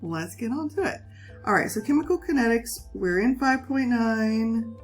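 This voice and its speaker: A woman teaching a chemistry lesson, a little hoarse from a cold, talking students patiently through the material step by step. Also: fairly high pitch, expressive, moderate pace, soft background music. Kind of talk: recorded classroom lesson.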